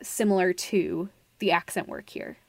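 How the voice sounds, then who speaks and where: A woman's voice: fairly low pitch, fairly even intonation, a little slow, creaky, no echo. A woman co-hosting a podcast, talking in a casual, analytical way. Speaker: podcast co-host.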